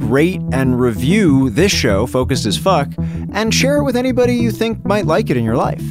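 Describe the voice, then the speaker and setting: A man with a mid-range voice talks like a candid podcast host. His pitch moves around a lot, keeping him animated. He rambles casually and pokes fun at himself.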